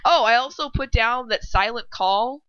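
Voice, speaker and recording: A woman's voice: a little high, moderate pace, sharp tone, clear, resonant tone, no room sound, highs cut off. A young woman co-hosting a fan fiction read-aloud, chatting and reading casually with informal, wry asides.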